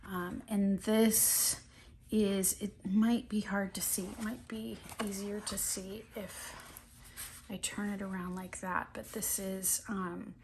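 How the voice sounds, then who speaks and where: A woman's voice: mid-range voice, slow pace. A middle-aged woman sniffling and a bit scratchy-throated from allergies, chatting casually through an art journal flip-through with lots of ums and restarts. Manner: relaxed, rambling, many ums and restarts.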